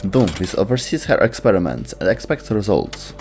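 A man's voice: lowish voice, very animated, moderate pace, a little mellow, faint music behind. A man reading scripted narration as the narrator of a video explainer. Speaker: narrator of a game lore video.

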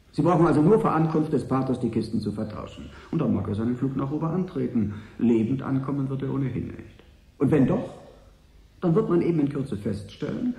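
Slick scheming corporate envoy, radio play, very lively intonation, echoey room, measured and insinuating. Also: male voice, medium pitch. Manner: smooth, deliberate, insinuating. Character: calculating corporate envoy offering a sinister bribe. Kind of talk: German radio play acting.

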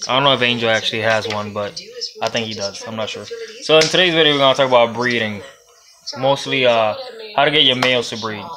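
A young Puerto Rican-accented man talks loose and rambling into a phone he holds close, at a middle pitch. A television crowd murmurs clearly behind him in a home reptile room. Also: fast talker, low-quality recording. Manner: loose and rambling, with laughs and swearing. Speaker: reptile breeder and vlogger. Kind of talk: casual how-to vlog. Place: indoor reptile room lined with storage tubs. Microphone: phone held close at arm's length.

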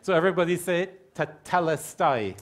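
A middle-aged man preaching a sermon from a church pulpit mic, repeating key phrases slowly for emphasis. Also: fairly high pitch, expressive, some chatter behind. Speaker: pastor. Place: church hall with stage curtains.

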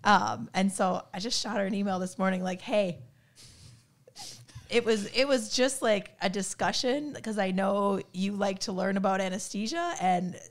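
A woman's voice: mid-range voice, moderate pace. A woman in her thirties with a cold, co-hosting a veterinary podcast in candid, chatty talk full of "like". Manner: candid, chatty, heavy use of "like". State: has a cold, voice worn. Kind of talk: casual podcast discussion. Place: home room, indoors. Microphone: studio mic on boom arm, headphones.

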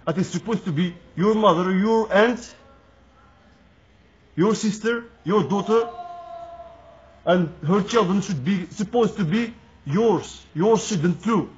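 A man's voice: high voice, slow, long pauses, dull audio, slight background noise. A Moroccan man with Moroccan Arabic as his native language, making an angry, indignant street appeal to camera in English and repeating his phrases. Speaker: protester speaking to camera.

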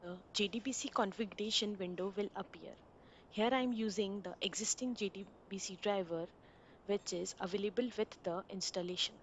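A woman narrating a screen-recorded software installation walkthrough, giving plain step-by-step instructions over a desktop mic. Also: mid-range voice, brisk, slight background noise.

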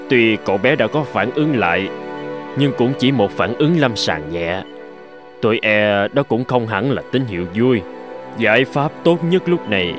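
An actor playing a grave, sorrowful middle-aged doctor in a cartoon, speaking in a solemn, measured way. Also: male voice, mid-range voice, lightly acted. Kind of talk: Vietnamese cartoon voice acting.

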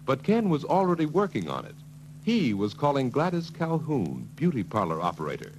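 A man's voice: medium pitch, huge pitch swings, moderate pace. A man narrating a 1940s sales training film in a scripted storytelling style. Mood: brisk storytelling. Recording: noisy, humming 1940s film soundtrack.

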